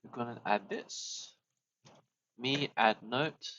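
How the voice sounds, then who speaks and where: Young male streamer, casual coding chat, mid-pitched, slow, lo-fi audio. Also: a bit bright, a little hiss or hum. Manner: thinks aloud, restarts phrases, chuckles often.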